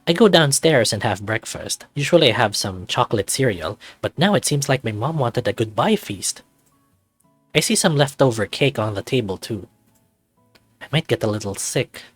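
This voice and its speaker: A man's voice: medium pitch, expressive, moderate pace, breathy edge, no echo, faint music behind. A young man reading first-person visual novel narration in a cocky, wry, conversational manner.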